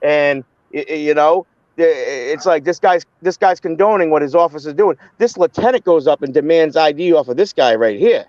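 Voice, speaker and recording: A man's voice: a little high, moderate pace, few pauses, darkish tone, dry recording, lo-fi sound. A man on a live online panel who talks bluntly and with heat, often stumbling and repeating words. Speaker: panel guest. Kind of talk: heated casual live-stream chat.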